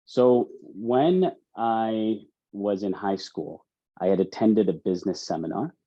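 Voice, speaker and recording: Male interview guest, thirties, Canadian accent, low relaxed voice, talkative, on a slightly dull recording. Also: slow pace. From Ontario, Canada. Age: 30s. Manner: chatty, repeats words and phrases. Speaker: mortgage specialist, interview guest. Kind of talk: casual interview answers. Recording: dull lo-fi audio.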